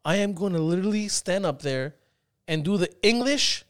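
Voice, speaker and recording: A man co-hosting a casual podcast chat, animated and swearing freely. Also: high-pitched, moderate pace, clean tone. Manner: animated, swearing, mimics accented phrases. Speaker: podcast co-host.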